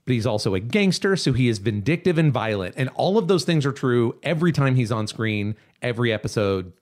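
Man, podcast host, animated intonation, medium pitch, casual discussion, analytical, emphatic. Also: brisk. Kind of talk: casual pop-culture discussion.

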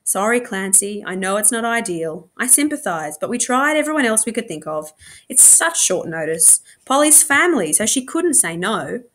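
A woman's voice: mid-range voice, sing-song, moderate pace, a bit bright. Woman reading as a mild, placating dad. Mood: apologetic. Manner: reassuring, apologetic. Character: mild, placating father, a music teacher.

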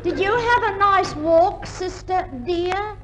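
An actress playing a fluttery, gushing, sweetly eager sister in a 1950s radio comedy. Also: female voice, very high voice, slow pace. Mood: sweet, coaxing. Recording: noisy vintage radio recording.

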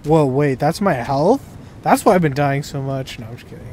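A man's voice: a little high, expressive, moderate pace, background noise. A man talking casually with friends while playing a video game. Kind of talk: casual gameplay chat.

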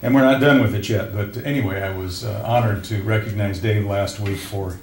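An older man chairs a city council meeting into a desk microphone, talking loosely, with restarts and fillers. His voice sits fairly low. The chamber sounds roomy, with a faint hiss behind him. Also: darkish tone. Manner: informal, halting, with restarts and fillers.

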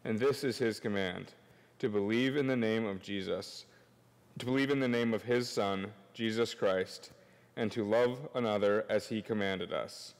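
Young male scripture reader, lowish voice, formal and solemn, reverberant church sanctuary. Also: moderate pace. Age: young adult. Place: indoors at a church lectern. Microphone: gooseneck lectern microphone.

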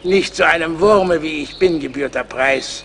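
High, creaky, pious psalm teacher. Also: male voice, normal speed, a bit bright, a little hiss or hum. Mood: self-important. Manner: florid, unctuous, preacherly. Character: pious, pompous psalm-singing teacher, eccentric and harmless. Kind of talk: German radio play acting.

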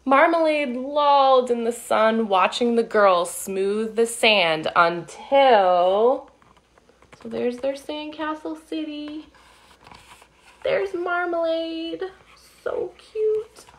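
A woman in her thirties reading a picture book aloud to young children, with sing-song delivery and friendly asides. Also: high-pitched. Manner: animated, slow and deliberate, sing-song child-directed delivery. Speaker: children's storytime reader. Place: living room at home, indoors. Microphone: phone or webcam at arm's length.

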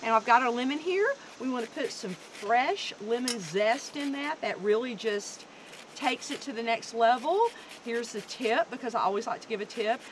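Chatty woman cooking outdoors, higher voice. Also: expressive, moderate pace. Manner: chatty, instructive, upbeat. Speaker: guest cook. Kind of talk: cooking demonstration. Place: outdoor wooded deck. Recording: outdoor background noise.

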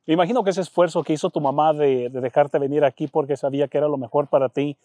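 A man's voice: on the high side, fairly even intonation, fast talker, dark tone, dry recording. A male Spanish-language baseball broadcaster conducting an interview, asking conversational follow-up questions.

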